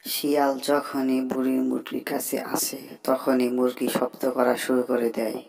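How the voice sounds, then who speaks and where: A storybook narrator with a very flat, creaky delivery, reading scripted cartoon narration. Noise and clucking sit behind the voice. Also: medium pitch, normal speed, poor audio.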